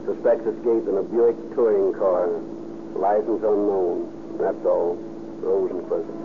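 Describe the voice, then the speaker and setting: Clipped police dispatcher, low voice, noisy tinny radio line. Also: male voice, slow. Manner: clipped, flat dispatch calls.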